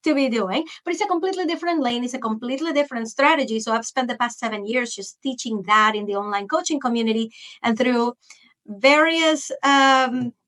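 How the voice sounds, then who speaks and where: Animated Venezuelan woman, fairly high voice. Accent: Venezuelan Spanish. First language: Spanish. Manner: animated, quick restarts, jumps into high-pitched quoted asides. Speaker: guest, marketing expert. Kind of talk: casual interview chat.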